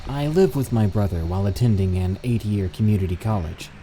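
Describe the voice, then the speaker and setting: Low, mellow male story narrator. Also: lively intonation, a little fast, slight background noise, poor audio. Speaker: narrator reading submitted stories. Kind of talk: scripted narration.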